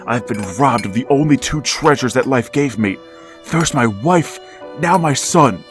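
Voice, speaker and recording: A man's voice: mid-range voice, animated, measured pace, natural voice. An actor playing a grieving, middle-aged father who narrates and pleads desperately to reach his dead son, in a vintage-style radio drama. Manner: anguished, pleading, rising to frantic outbursts. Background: music.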